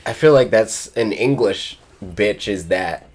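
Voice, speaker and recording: A man's voice: fairly low pitch, animated, measured pace. A man co-hosting a podcast, chatting casually, rambling with restarts and joking repetitions. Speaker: podcast co-host. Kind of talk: casual chat.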